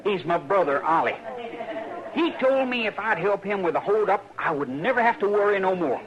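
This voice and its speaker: An actor playing a scared, shifty young drifter in a 1950s radio drama, stammering and hesitant, with rural grammar. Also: male voice, high-pitched, expressive, normal speed. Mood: nervous. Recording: lo-fi old radio broadcast, saloon crowd behind.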